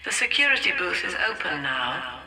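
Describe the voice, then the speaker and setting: Cold, echoing cult leader. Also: female voice, on the low side, sing-song, faint music behind, heavily acted. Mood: cold, righteous. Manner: formal, measured, imperious. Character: cold, commanding, vengeful female cult leader. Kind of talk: video game voice acting. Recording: echoing, distant loudspeaker sound.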